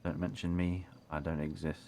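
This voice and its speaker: A man playing a secretive, wary man in an online roleplay game, speaking in terse, guarded, deliberate phrases. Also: rumbling low, little pitch movement, creaky, warm tone, slight background noise. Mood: guarded, stern. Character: secretive, wary man in traditional British dress.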